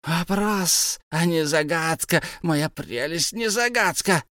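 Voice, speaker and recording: High, hissing, greedy cave creature, slow and whiny, dry close sound. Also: male voice, sharp tone, dry recording. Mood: sly.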